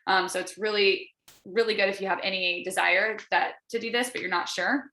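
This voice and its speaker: A woman with a bright, forward voice gives an informal coaching pitch over a video call. She talks in a chatty, encouraging way and leans on her points. The sound is roomy, with audible echo around her voice. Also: steady tone, brisk. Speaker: wellness sales coach presenting to a group.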